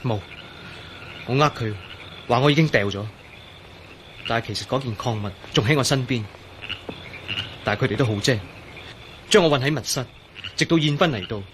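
A man's voice: mid-range voice, moderate pace, pauses often, lightly acted. Actor as an anxious, frightened young man, noisy backdrop. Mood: anxious recounting. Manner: anxious, earnest, explaining. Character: frightened young man, hunted, confessing his fears. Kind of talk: Cantonese radio drama acting.

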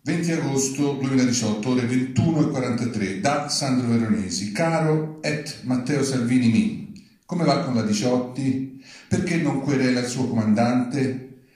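Uomo dalla voce morbida, sala molto riecheggiante. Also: male voice, medium pitch, normal speed, darkish tone. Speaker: writer reading his own texts. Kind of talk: live public reading of his own satirical posts. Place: large reverberant venue at a live reading event. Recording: very echoey live public venue.

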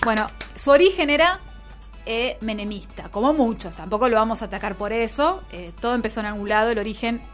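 A woman with a Rioplatense Argentine accent speaks in a highish voice over music. She hosts a live radio show, chatting casually with playful irony. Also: fairly quick. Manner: playful, ironic.

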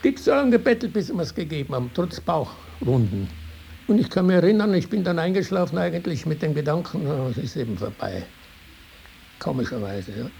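Older Tyrolean man, creaky and high-pitched. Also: expressive, a little fast, warm tone. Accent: Tyrolean Austrian. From Tyrol, Austria. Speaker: interviewee recalling his life. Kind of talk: spontaneous oral reminiscence. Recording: hissy archival interview tape.

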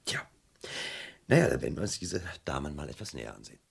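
An actor playing a persistent, wry police detective in a German radio crime drama, questioning and recounting in a dry, conversational way. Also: male voice, rumbling low, very animated, fast, creaky, husky, exaggerated voice. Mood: probing.

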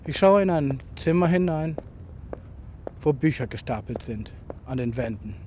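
A man's voice: mid-range voice, expressive, measured pace, a little mellow. Introspective man on noisy tape. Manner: introspective, fragmented observations. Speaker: writer. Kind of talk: improvised spoken monologue into a tape recorder. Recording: noisy home tape recording.

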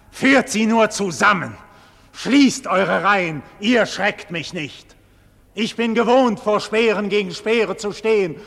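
Doomed heroic nobleman, slow solemn declamation, husky, classical stage style, long cavernous reverb, vintage radio drama. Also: high voice, fairly bright tone, exaggerated voice. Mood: defiant exaltation. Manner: solemn, slow, theatrical declamation with wide dynamic swings. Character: condemned heroic nobleman facing execution, proud, visionary, defiant. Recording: 1940s radio recording with long hall reverb.

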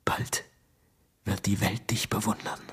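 An actor playing a boastful, seductive and fanatical Soviet security chief in a radio drama, theatrical in manner. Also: male voice, level tone, a little slow, slightly rough, in a whisper, heavily acted. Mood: seductive, menacing. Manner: theatrical, swinging from booming to hushed.

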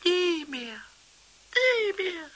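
A man's voice: big pitch swings, extremely slow, pushed and bright, heavily acted. A man playing a cheeky, childlike little kobold in a children's radio play, squawky and whiny, dramatically repeating words in bursts. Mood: worried. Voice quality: shrill, squawky. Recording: faintly hissy 1970s radio play recording.

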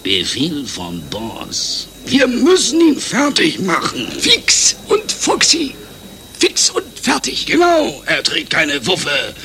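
An actor playing a slow-witted, menacing henchman who drags out and muddles his words in a cartoonish radio satire. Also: on the high side, wildly swooping pitch, exaggerated voice. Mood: menacing, clownish. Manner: exaggerated, drawn-out, muddles words.

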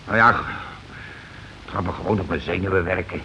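An actor in a Dutch radio play playing a middle-aged, self-important working-class husband who complains and blusters sarcastically. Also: male voice, deep voice, a little fast, bright, forceful tone. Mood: indignant blustering. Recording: muffled, hissy old radio recording.

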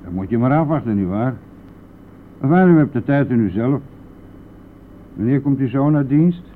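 A man's voice: medium pitch, animated, moderate pace, natural voice. Boastful bus driver played by a man, tinny old recording. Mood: chatty. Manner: blustery, colloquial, mildly swearing. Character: boastful, brash, talkative male bus driver. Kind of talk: radio play acting. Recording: narrow phone-like old radio recording, faint noise.

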